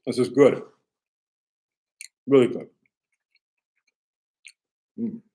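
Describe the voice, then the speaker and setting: A man chatting casually as a livestream host, halting and offhand, often repeating his phrases. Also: medium pitch, steady tone, mellow. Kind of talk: casual rambling livestream chat.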